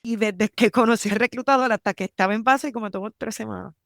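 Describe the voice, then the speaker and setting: A woman from Puerto Rico with a Puerto Rican accent, telling her story in a lively interview and mixing English terms into her Spanish. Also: a little high, quick pace, vocal fry, dry recording, clean studio sound. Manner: animated, emphatic, mixes English terms into Spanish. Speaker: army lawyer, guest.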